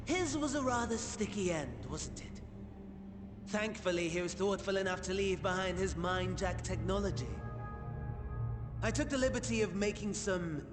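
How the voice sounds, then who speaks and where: An actor playing a suave, mocking villain sorcerer in a video game, theatrical and smugly polite. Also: male voice, sits high, animated, moderate pace, over music. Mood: smug gloating. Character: suave, mocking male villain sorcerer, gloating over a captive. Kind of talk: video game cutscene acting.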